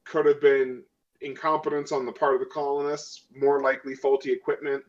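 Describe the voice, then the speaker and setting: A man's voice: medium pitch, level tone, brisk, close to an everyday voice. Man playing a dry, guarded woman marshal, muffled. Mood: dismissive, cool. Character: shrugging, guarded, sardonic woman colonial marshal. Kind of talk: in-character tabletop role-play. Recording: dull lo-fi audio.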